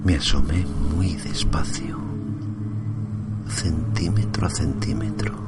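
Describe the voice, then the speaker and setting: Deep, slow male horror narrator over loud music. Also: whispered. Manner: suspenseful, drawn-out phrasing, often hushed and breathy. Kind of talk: dramatic scripted horror narration in the first person.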